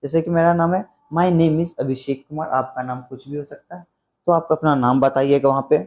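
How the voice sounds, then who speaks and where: A man's voice: a little high, steady tone, moderate pace, a little mellow. A man giving a spoken English lesson, explaining step by step and repeating example phrases. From Bihar, India. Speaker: English teacher.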